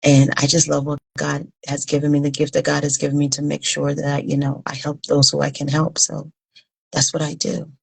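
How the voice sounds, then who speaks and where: A woman in her fifties from Liberia, speaking as an interview guest. Her voice is exceptionally low, with a heavy creaky fry. She answers conversationally and earnestly, pausing between phrases. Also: completely flat delivery, low-quality recording. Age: 50s. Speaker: interview guest, humanitarian founder.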